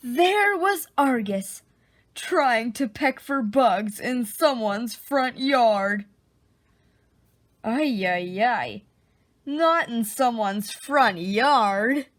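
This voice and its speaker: A woman reading a children's picture book aloud in an expressive, dramatic storytelling style. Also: a little high, extremely slow. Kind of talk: animated storybook read-aloud.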